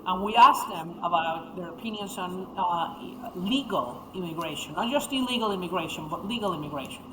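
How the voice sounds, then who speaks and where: A young speaker from Venezuela, a native Spanish speaker, talks as an interview guest and tells stories quickly and animatedly, with many fillers. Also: medium pitch, background noise. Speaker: guest, founder of a speakers bureau. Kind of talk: interview conversation. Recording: echoey room.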